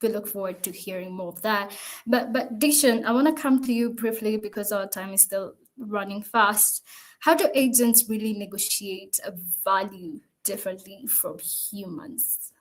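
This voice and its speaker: A woman hosts a panel discussion over a video call, her voice sitting at an ordinary middle pitch. She speaks in an encouraging way and steers the talk with short prompts to each guest. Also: normal speed, rough recording. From Kenya. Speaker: panel moderator. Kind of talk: moderating an online panel.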